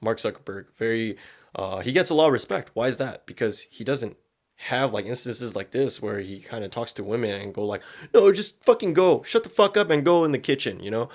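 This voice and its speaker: A man's voice: mid-range voice, lively intonation, fairly quick. A man hosting a podcast, giving casual, opinionated commentary in slangy language full of profanity. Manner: slangy, profanity-laden speech. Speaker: podcast host.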